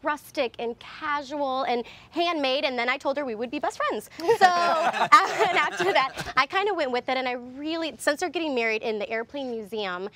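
A young woman, a DIY designer on a lifestyle show, chatting brightly through a how-to demonstration on an outdoor backyard set with a lapel mic. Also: high-pitched, normal speed, few pauses, slight background noise. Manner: bubbly, chatty, laughs often.

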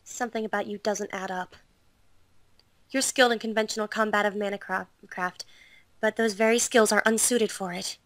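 A woman voicing a composed, quietly menacing young swordswoman, speaking in a hushed, measured way for an amateur visual novel dub. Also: mid-range voice, fairly even intonation, no room sound, close to an everyday voice. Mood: cool, probing. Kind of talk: visual novel fandub acting.

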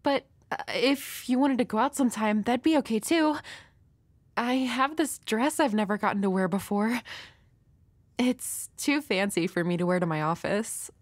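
A woman's voice: fairly high pitch, normal speed, no room sound, natural voice. A woman playing a nervous, dorky young woman with a crush in an intimate roleplay audio, hesitant, stammering and apologetic. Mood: nervous, tender.

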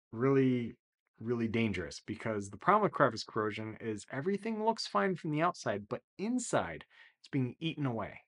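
Relaxed man chatting about boat repairs. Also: mid-range voice. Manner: relaxed, enthusiastic, many "really" and "uh" fillers. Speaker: sailing vlogger explaining boat repairs. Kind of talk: casual explanatory talk to camera. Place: small office indoors.

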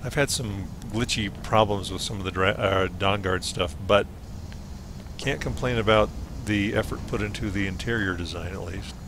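A man with a hoarse voice giving relaxed, playful let's play commentary as he explores a game. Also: lowish voice, normal speed, noisy background. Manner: relaxed, musing, playful asides. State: hoarse, losing his voice. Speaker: game streamer.

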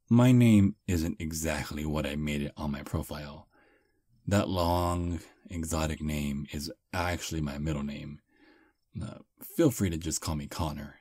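Male VA playing a charming old vampire on a first date: very low, unhurried, soft and slightly halting, close dry ASMR mic. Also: no room sound, full character voice. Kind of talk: ASMR roleplay.

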